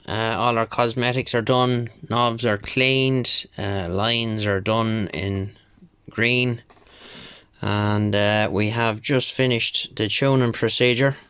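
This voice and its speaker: A man with a slightly low, bright-edged voice walks through hands-on repair work at a slow pace. He is casual and matter-of-fact, halting as he lists each step. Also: a little hiss or hum. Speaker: radio repair technician presenting own work.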